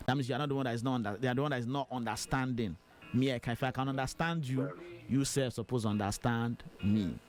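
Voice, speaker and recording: A man with a Nigerian accent whose first language is Yoruba, hosting a radio interview and talking quickly with many fillers. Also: mid-range voice, faint music behind. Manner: fast, animated, with frequent fillers. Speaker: radio host.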